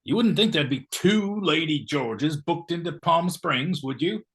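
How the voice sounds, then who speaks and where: A man playing a blustery, oblivious knighted gentleman in a comic stage play, sounding puzzled. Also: fairly high pitch, animated, a little slow. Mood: breezy, oblivious. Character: bewildered, blustery English-style knighted gentleman, oblivious husband.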